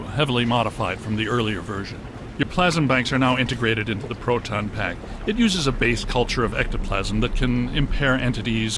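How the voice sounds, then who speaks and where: A man playing an unflappable, brainy ghost-hunting scientist in a video game, speaking in a precise, technical, deadpan way. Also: medium pitch, background noise, close to an everyday voice. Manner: dry, precise, technical delivery.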